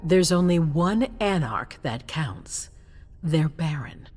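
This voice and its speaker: A woman's voice: sits low, animated, slow pace, a little mellow, breathy edge, heavily acted. An actress playing a cold, ruthless female vampire leader in a video game, speaking with imperious authority. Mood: businesslike, authoritative. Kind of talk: dramatic video game acting.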